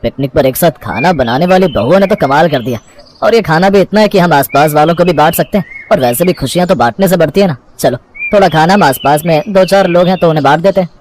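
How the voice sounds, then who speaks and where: An exasperated young husband from a cartoon moral tale, acted by a man. He grumbles and pleads emphatically, and his words come at a fast clip. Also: a little high, expressive, a little mellow, a little hiss or hum, natural voice. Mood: cheerful, conciliatory. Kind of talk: animated moral story dialogue.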